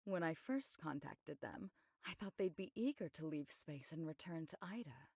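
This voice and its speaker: A voice actress playing a composed, discreet female investigator in an anime game, measured and matter-of-fact as she explains. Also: deep voice, expressive, darkish tone, slightly rough, dry recording, studio quality, heavily acted. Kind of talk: scripted anime game voice acting.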